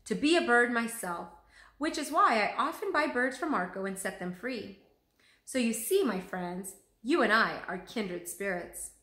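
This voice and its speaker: A woman's voice: on the high side, animated, a little slow, bright, forceful tone, pitched above the performer's own voice. A woman voicing an effusive, grandly eloquent male genius artist in a storybook read-aloud. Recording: roomy echo.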